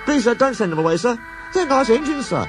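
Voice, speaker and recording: A man's voice: very high voice, very animated, quick pace, music in the background, voice pushed up. A man voicing a small, timid young male engine who stammers and keeps saying "sir". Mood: nervous. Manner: stammering, nervous, over-polite.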